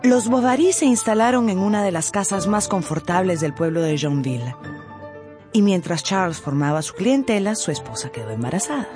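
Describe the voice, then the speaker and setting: A woman giving scripted, expressive narration for a radio novel. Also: mid-range voice, moderate pace, soft background music. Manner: expressive storytelling. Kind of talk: scripted dramatized audiobook narration.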